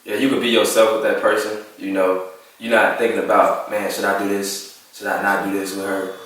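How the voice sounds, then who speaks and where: A man's voice: fairly low pitch, flat delivery, brisk, fairly bright tone. A twenty-three-year-old man from Chicago, speaking bluntly and laughing easily as a panelist in a casual discussion. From Chicago, USA. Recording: echoey room, distant mic.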